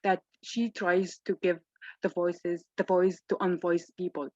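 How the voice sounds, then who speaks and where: Casting: young woman from Gaza, lowish voice, hesitant panelist, dull dry call audio. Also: level tone, mellow, no room sound. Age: young adult. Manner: halting, frequent restarts. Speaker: student panelist. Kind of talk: online event talk. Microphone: video call.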